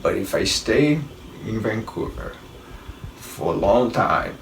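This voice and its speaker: A man's voice: lowish voice, expressive, slow pace, gravelly, a little hiss or hum. A middle-aged man with a Brazilian Portuguese accent, giving rambling travel tips in a casual monologue and often restarting his sentences. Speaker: travel vlogger. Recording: roomy, distant mic with faint ambience.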